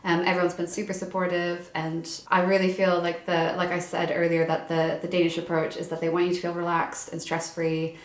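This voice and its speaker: Young woman vlogger, chatty and casual with lots of fillers, low even voice, echoey room. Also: brisk, hardly any pauses. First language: English. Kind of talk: casual chat.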